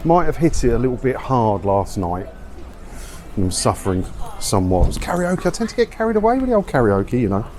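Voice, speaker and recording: A man's voice: medium pitch, sing-song, fairly quick, darkish tone. A man chatting casually as he walks outdoors, giving sardonic, grumbling commentary on the news and often asking rhetorical questions. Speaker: vlogger hosting a commentary video. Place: outdoors, walking around an English seaside town. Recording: outdoor windy street noise.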